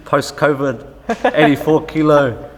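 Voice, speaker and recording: A man's voice: on the high side, steady tone, slow, long reverb tail, low-quality recording. A man hosting an interview, asking casual questions with frequent uhs and restarts. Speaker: interviewer, coach.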